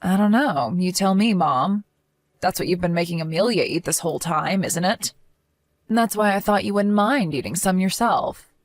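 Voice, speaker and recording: A woman's voice: on the low side, expressive, moderate pace, no room sound. A woman voicing a protective young sister-in-law who speaks in an earnest, reassuring manner. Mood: stern.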